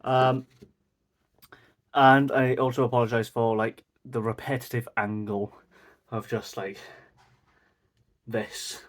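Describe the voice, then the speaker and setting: A man's voice: medium pitch, level tone, takes it slowly, long pauses. A Welsh man in his twenties from South Wales, rambling through a casual Q&A vlog to a mic and camera with lots of fillers. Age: 20s. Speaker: vlogger. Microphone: mic facing camera.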